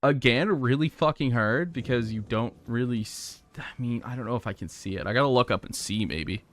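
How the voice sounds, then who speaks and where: A hoarse young man commenting as he plays a hard game live, thinking aloud, swearing and grumbling at his mistakes. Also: medium pitch, fairly quick. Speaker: gaming streamer.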